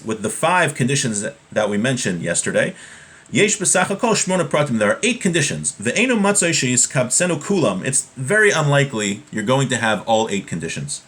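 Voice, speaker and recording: A man's voice: mid-range voice, a bit bright. Conversational man teaching religious law. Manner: conversational, explanatory, repeating key points. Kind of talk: informal religious lesson, reading Hebrew text and explaining it in English. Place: small room at home, indoors.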